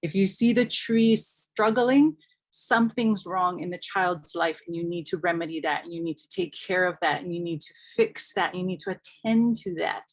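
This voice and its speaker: A woman's voice: lowish voice, moderate pace. A woman from Hawaii speaking as a scholar on a panel, earnest and reflective, repeating phrases for emphasis. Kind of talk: reflective panel talk with storytelling.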